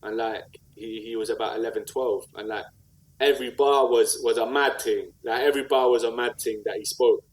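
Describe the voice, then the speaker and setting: A man's voice: medium pitch, steady tone, brisk, rough recording. A man with a South London accent speaking conversationally and earnestly in slang, reflecting and telling stories as an interview guest. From South London, England. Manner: earnest, slangy, with repeated "like" and "you know what I mean". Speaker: podcast guest, rapper and community activist.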